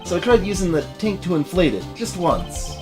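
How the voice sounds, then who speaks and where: A streamer acts out a flustered young man from a video game, evasive and quick to get defensive. He stammers and swoops widely in pitch over background music. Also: sing-song, lightly acted. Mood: sheepish, evasive. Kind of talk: video game dialogue read in character.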